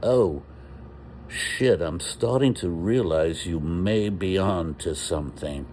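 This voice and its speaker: A man's voice: deep voice, darkish tone, a little hiss or hum. A man voicing a proud, defiant prisoner who rants and rambles indignantly. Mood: proud, rambling. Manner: slow, indignant, rambling declamation.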